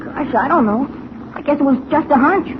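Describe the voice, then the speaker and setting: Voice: sits high, moderate pace, lightly acted. A performer playing an eager, naive teenage boy cub reporter in 1940s radio style, boyish and hesitant with gee-whiz delivery. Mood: excited, boastful. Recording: tinny, very noisy old radio recording.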